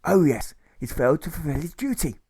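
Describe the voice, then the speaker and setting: A man's voice: on the high side, very animated, a little fast, mellow, breathy edge, no echo, pitched above the performer's own voice, heavily acted. Harsh, throaty army commander, put on by a man. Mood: stern.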